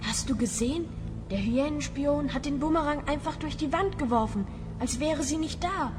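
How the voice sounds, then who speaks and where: A woman's voice: sits high, normal speed, over music, natural voice. A woman playing a brave, sensible young hero, speaking earnestly and decisively in a fantasy radio play. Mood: amazed.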